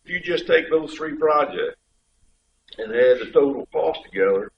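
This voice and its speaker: A man's voice: a little high, normal speed, gravelly, slight background noise. Man with a head cold, distant and echoey. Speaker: state highway official. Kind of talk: explaining at a public board meeting. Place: community board meeting room. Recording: dull, echoey room pickup from far off.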